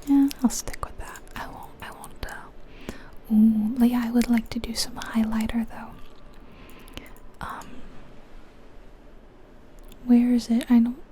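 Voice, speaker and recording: A woman's voice: on the high side, steady tone, takes it slowly, pauses often, warm tone. A woman whispering in an ASMR style, musing to herself as she gives commentary on a video game. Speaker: ASMR creator.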